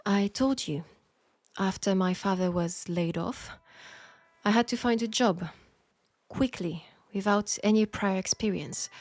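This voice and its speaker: A woman with a French accent playing a proud, wry young Parisian seamstress in a scripted audio roleplay, speaking in a measured, pointed way. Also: medium pitch, creaky, darkish tone, dry recording. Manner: measured, pointed, sardonic, with sighs.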